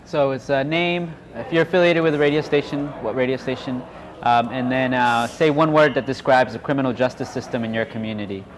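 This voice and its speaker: A man's voice: mid-range voice, fairly even intonation, brisk. A man facilitating a workshop, informally giving instructions to a group, recorded live in a room. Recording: live room with audience chatter.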